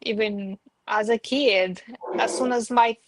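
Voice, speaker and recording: Halting woman, higher voice. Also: no echo, rough recording. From Eastern Europe. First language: Belarusian. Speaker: guest on a livestream. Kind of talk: hesitant personal conversation.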